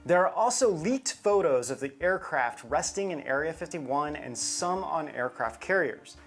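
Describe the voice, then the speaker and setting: Casting: female co-host, animated, conversational explainer delivery, soft music underneath. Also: sits low, measured pace. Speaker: co-host of a UFO show. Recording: music behind the voice. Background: background music.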